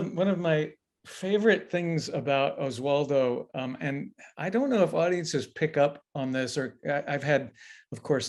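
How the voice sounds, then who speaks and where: A man's voice: fairly high pitch, a little mellow. A man hosting a theater podcast, asking curious questions in a friendly way and laughing often. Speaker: podcast host. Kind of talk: casual interview.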